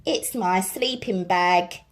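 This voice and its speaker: A woman's voice: fairly low pitch, slow pace. A woman voicing a sad little fox in a children's storybook, plaintive. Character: sad little fox who lost a dry bed.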